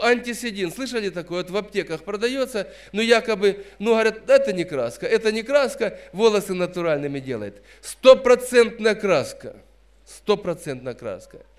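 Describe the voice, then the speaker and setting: A man's voice: sits high, smooth. A man in his fifties preaching a sermon in an emphatic, admonishing way, repeating phrases for stress. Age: 50s. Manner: admonishing, didactic.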